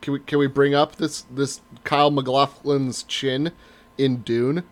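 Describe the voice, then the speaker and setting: A man in his thirties chatting casually as a podcast co-host, telling stories in an animated way with emphatic swearing. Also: medium pitch, unhurried. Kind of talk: casual banter, profane chat.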